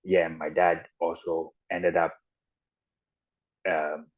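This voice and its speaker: Podcast guest, man, low voice, halting reflective storyteller, muffled lo-fi sound. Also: little pitch movement. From Ghana and the United States. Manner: halting and reflective, with frequent restarts and "you know". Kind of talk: personal storytelling in an interview. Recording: dull lo-fi audio.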